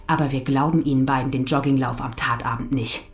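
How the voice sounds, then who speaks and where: A woman's voice: rumbling low, steady tone, fast talker. A woman playing a police investigator in a German radio crime drama, questioning in a probing, insistent, accusatory manner. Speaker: police interrogator.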